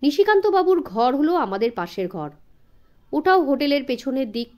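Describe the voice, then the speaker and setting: A woman narrating a suspense story from a script in an expressive way, as an audiobook reader. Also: high voice, normal speed.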